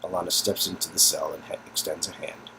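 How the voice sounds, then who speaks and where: A man's voice: deep voice, flat delivery, brisk, gravelly, sharp tone, a little hiss or hum, low-quality recording. A man co-hosting a casual read-through of a story game, narrating scenes and chatting. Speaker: co-host and narrator.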